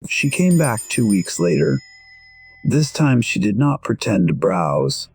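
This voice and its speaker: A man narrates a first-person audio story at a very slow, measured pace. His voice is husky and reflective, in a medium register. Gentle music plays faintly underneath. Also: mid-range voice, very animated.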